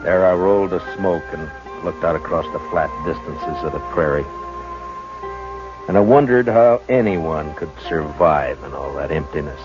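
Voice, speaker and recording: A deep-voiced calm frontier marshal. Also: male voice, music in the background. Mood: reflective. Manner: measured, hesitant drawl with many uhs. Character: steady frontier marshal, grown man, calm and firm. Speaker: lead actor and narrator. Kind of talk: 1950s radio drama acting. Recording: dull old radio broadcast with hiss.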